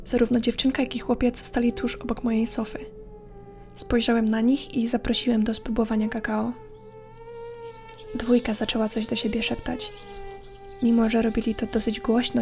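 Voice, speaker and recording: Even, higher-pitched woman narrating horror. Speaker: horror podcast host and narrator. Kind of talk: scripted horror story narration. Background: faint music.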